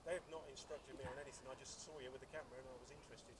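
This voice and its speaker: A man's voice: medium pitch, fairly even intonation, very fast. A British man who works as college staff, talking casually and politely outdoors in a conciliatory way. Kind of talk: casual conversation. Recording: distant from mic, people nearby outdoors.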